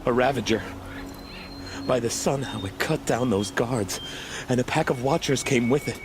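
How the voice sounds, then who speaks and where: A man's voice: mid-range voice, normal speed, noisy background, lightly acted. A man acting a distraught, well-born young refugee in a video game, earnest and pleading. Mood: fearful, shaken. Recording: clear outdoor game ambience behind.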